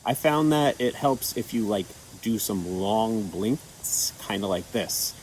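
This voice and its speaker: A man gently walking children through an activity with patient, step-by-step instructions and a few fillers. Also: on the low side, lively intonation, slow pace, a little hiss or hum, low-quality recording. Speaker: children's storytime presenter. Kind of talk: guiding kids through an activity.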